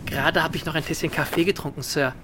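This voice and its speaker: A man's voice: on the high side, steady tone, fast talker, heavy background noise, lightly acted. An actor playing an eager, deferential, chatty male household servant in a radio play.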